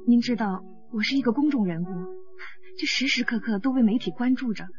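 Actress as upset young pop idol girl in a radio drama, high voice, kinda dull recording. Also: brisk, over music, close to an everyday voice. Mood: anxious, tearful. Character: distressed young female pop idol, pleading and proud. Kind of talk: Mandarin radio drama acting.

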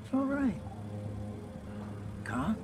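Slow, menacing old crime boss. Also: male voice, extremely high pitch, huge pitch swings, heavily acted. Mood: calm menace. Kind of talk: video game cinematic acting. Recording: loud music under the voice.

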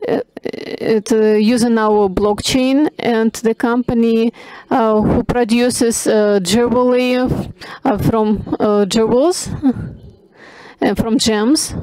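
A woman giving simultaneous interpretation of a panel discussion, halting, with many pauses and restarts. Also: fairly high pitch, fairly even intonation, mellow, clear, resonant tone, dry recording, low-quality recording. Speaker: conference interpreter.

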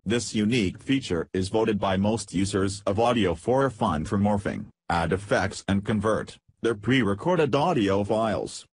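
A man with a fairly low, noticeably rough-edged voice narrates a product demo from a script. The sound is dry and close, with little room in it. He delivers it as short headed segments and feature lists. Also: unhurried, hardly any pauses, no echo.

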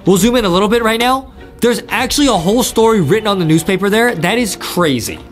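High-pitched, hyped young American man. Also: lively intonation, quick pace. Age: young adult. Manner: hyped, exclamatory, slangy. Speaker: gaming YouTube host. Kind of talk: excited gameplay commentary.

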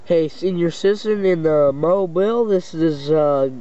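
A man with a high voice calls out on CB radio in short, halting phrases. The sound is dull, with clear static and clicks behind him. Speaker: CB radio operator, video maker. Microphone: camera mic beside a CB radio set.

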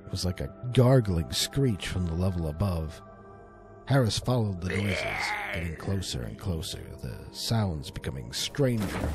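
A man's voice: lowish voice, darkish tone. A man telling a scripted story as a dramatic, suspenseful, theatrical narrator.

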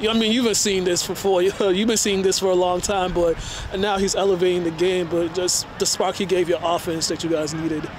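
A man's voice: high-pitched, little pitch movement, brisk. A man working as a sports interviewer, asking casual postgame questions outdoors. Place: outdoor football stadium at night. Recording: clear outdoor ambient noise.

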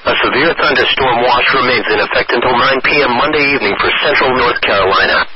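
A synthetic man's voice reading formal emergency weather bulletins over radio in a measured, impersonal way. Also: mid-range voice, a loud crowd. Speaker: automated weather radio announcer. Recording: noisy, dull weather radio broadcast.

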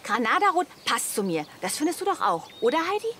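A vain, naive neighbour in a radio play. She gushes in a highish, very animated voice over faint outdoor noise. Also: quick pace. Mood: self-absorbed. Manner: gushing, chatty. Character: naive, excitable, vain chatty neighbour woman. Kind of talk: German audio drama dialogue.

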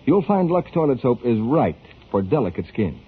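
A man's voice: medium pitch, sing-song, normal speed, husky. A man working as a 1940s radio announcer, with a polished, emphatic sales delivery. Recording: tinny muffled 1940s radio broadcast.

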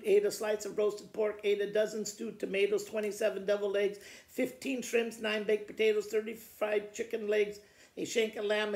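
Man, sixties, gravelly fry, children's poetry read-aloud, echoey room. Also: high-pitched. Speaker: school principal reading to students. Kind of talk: reading children's poems aloud. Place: living room by a fireplace, indoors. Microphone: camera a short distance away. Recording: roomy indoor echo.

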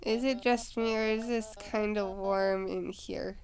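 Young adult woman for let's-play commentary; chatty, mutters to herself, mid-pitched voice, light background music. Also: fairly even intonation, a little slow, a little mellow. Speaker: gaming video creator.

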